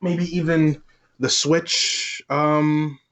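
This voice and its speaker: A man's voice: fairly high pitch, little pitch movement, very slow, fairly bright tone. A man co-hosting a casual gaming podcast, speaking in a tentative, agreeable way. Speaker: podcast co-host. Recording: slightly dull, lo-fi microphone sound.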